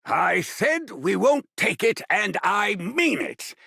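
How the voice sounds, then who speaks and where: A man playing a brash, teasing, world-weary funeral agency boss in an animated game, with a gravelly voice and a theatrical manner. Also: high-pitched, takes it slowly, no echo, studio quality, heavily acted. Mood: firm, earnest.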